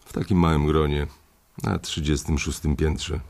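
An actor playing an older, domineering bank boss who is blunt, curt and coarse in a radio drama. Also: male voice, a bass voice, fairly even intonation, normal speed, vocal fry, darkish tone. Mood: persuasive. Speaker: head of a bank trading floor.

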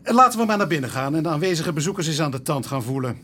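An actor playing a world-weary police inspector in a radio play, with a dry, teasing, sighing manner. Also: male voice, on the high side, expressive, fast, pushed and bright, slight background noise. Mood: businesslike. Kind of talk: Dutch radio play acting.

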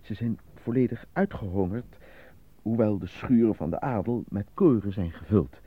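A man playing an anxious, apolitical stage actor in a Dutch radio drama, with a theatrical manner that turns halting under nerves. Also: fairly low pitch, husky, no echo. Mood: nervous, subdued. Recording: dull lo-fi radio drama recording.

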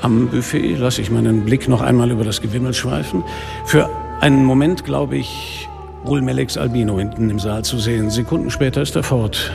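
An actor playing a weary, middle-aged police inspector in a radio drama, speaking and narrating in a dry, caustic manner. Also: male voice, mid-range voice, steady tone, moderate pace. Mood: wry narration. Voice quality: gravelly. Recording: reverberant, loud music behind.

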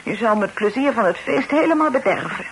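An actress playing a fussy, bossy middle-aged aunt in a radio drama, chattering and flustered. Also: female voice, on the high side, expressive, fast talker. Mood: chatty, fretting. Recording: noisy old radio play recording.

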